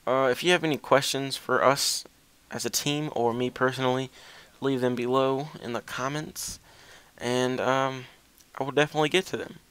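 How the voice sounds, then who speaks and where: Smooth-voiced young man rambling casually. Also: mid-range voice, steady tone, moderate pace, a bit bright, dry recording. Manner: self-deprecating, hesitant. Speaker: gaming video commentator.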